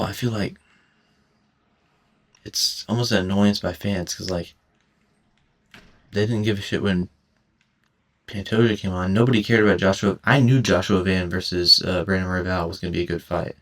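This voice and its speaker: A young man in his late teens rambling casually at home over gameplay, halting and self-conscious, with frequent restarts and stutters. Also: deep voice. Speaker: gaming commentator. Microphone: cheap headset mic.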